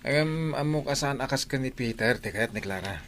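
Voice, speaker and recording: A man's voice: mid-range voice, expressive, fairly quick, a bit bright. A man playing a lovesick, reluctant young bachelor in a radio drama, with an Ilocano accent. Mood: dejected. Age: young adult. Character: lovesick young man, sulky hard-drinking older brother.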